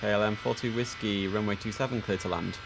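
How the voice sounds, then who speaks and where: A man's voice: lowish voice, steady tone, fast, vocal fry, over music. A man livestreaming as an air traffic controller, giving clipped formal radio instructions and muttering casual commentary to himself in between.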